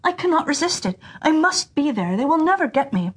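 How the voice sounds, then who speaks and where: A woman's voice: high-pitched, big pitch swings, brisk, voice pushed up. A woman voicing an eager, restless young male deer in a fairy tale, earnest and impulsive. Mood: excited, insistent. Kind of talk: children's fairy tale storytelling.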